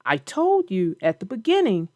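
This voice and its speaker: Animated woman storyteller, dry close sound. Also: medium pitch, moderate pace, dry recording, muffled recording. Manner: theatrical, addresses young readers directly. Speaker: children's story narrator and host. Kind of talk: expressive read-aloud and chat for young children.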